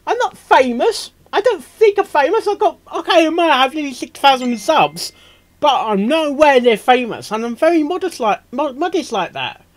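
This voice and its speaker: A man hosting a reaction video, rambling casually and excitably, stammering and repeating himself as he goes. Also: very high-pitched, normal speed. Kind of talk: casual off-the-cuff reaction commentary.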